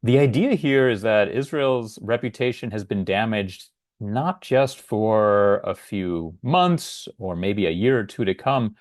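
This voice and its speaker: Podcast host, middle-aged American man, lowish voice, earnest and conversational, dry close mic. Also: lively intonation, a little slow, no room sound. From United States. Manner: conversational, earnest, with occasional uh fillers. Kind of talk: solo podcast monologue.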